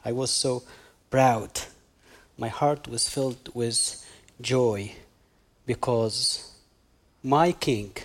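A man with an Egyptian accent, a native Arabic speaker, preaching a sermon as a guest pastor, halting now and then as he hunts for words. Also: medium pitch. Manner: halting, hunting for words with many pauses.

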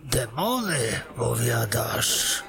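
A man voicing a chatty, gossiping tavern keeper in a fantasy audio drama, with a slow, animated, gravelly way of talking. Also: mid-range voice, heavily acted. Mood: gossipy. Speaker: innkeeper.

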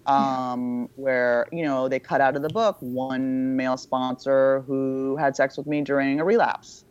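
A woman with a low voice, a podcast guest talking in a frank, irreverent, self-mocking way. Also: steady tone, unhurried, a little mellow. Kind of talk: candid casual conversation. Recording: dull lo-fi sound.

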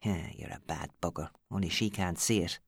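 A woman's voice: very deep voice, normal speed, breathy edge, voice pushed down, heavily acted. A woman voicing an outspoken, protective middle-aged Irish woman in an audiobook, scolding and forthright. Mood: scornful. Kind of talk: audiobook character acting.

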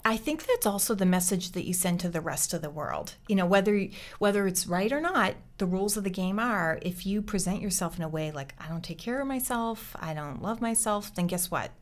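A woman's voice: medium pitch, a little fast, hardly any pauses. Chatty American woman hosting a podcast. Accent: Boston-area American. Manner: chatty, reflective, storytelling. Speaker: podcast host. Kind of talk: casual chat.

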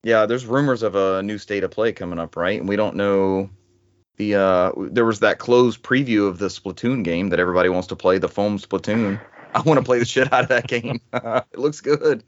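A man's voice: lowish voice, moderate pace, no echo, muffled recording. A man hosting a casual gaming news chat in a joking, sometimes profane way. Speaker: podcast host.

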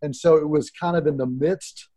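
A man's voice: on the high side, steady tone, moderate pace, warm tone. A middle-aged man hosting an interview, speaking conversationally in hesitant, broken-up phrases. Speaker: interview show host.

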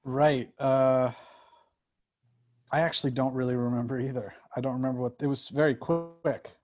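Halting man, dry close sound. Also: medium pitch, steady tone, darkish tone, no room sound, lo-fi sound. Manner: halting, repeats words. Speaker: screenwriter. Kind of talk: casual discussion of own work.

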